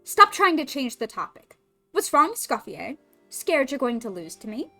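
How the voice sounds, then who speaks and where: A woman's voice: high voice, big pitch swings, moderate pace, sharp tone, soft background music, full character voice. A woman voicing a brash young female rival chef with a put-on French accent, pushy and challenging.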